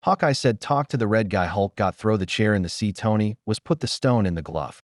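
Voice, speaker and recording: Man recapping in swooping, lively pitch. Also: a little fast, no room sound, studio quality. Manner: short, choppy, loosely worded sentences. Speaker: amateur film reviewer. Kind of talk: simple spoken retelling of a film's plot.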